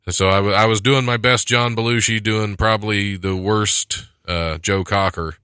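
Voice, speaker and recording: A man's voice: fairly low pitch, steady tone, normal speed, bright, forceful tone, smooth, no room sound, studio quality. An American man hosting a radio show with casual, rambling, self-deprecating comedy talk. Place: studio.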